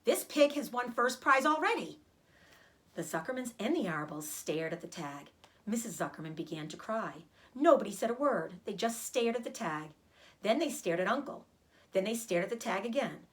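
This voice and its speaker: A woman narrating a children's storybook aloud in an expressive, animated way, like a teacher reading to a class. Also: medium pitch, moderate pace. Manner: animated, acts out dialogue.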